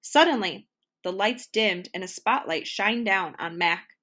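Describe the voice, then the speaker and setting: A woman narrates a children's storybook expressively. Her pitch is lively and varied, and she takes her time, phrasing deliberately.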